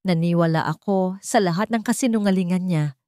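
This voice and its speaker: A woman with a Filipino accent voicing a grieving, betrayed young woman in a story, halting and confessional. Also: on the low side, dark tone, no echo, clean studio sound, lightly acted. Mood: regretful, hurt.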